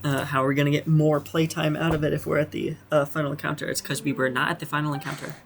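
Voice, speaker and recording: A woman's voice: low voice, little pitch movement, fast talker, gravelly, slight background noise. A woman giving casual, informal playthrough commentary, musing aloud as a gaming streamer. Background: faint game music.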